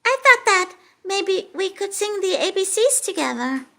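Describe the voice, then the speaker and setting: A puppeteer voicing a sweet, excitable childlike lamb puppet with a squeaky voice and halting, giggly manner for a children's show. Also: gravelly, full character voice. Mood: cheerful.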